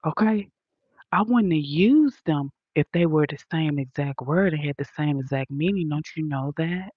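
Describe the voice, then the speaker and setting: A woman's voice: low-pitched, moderate pace, slightly rough, no room sound, muffled recording. A woman with a Southern accent hosting a livestream, lecturing her chat in an indignant, emphatic way. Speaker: livestream host reading chat. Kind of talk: casual livestream rant.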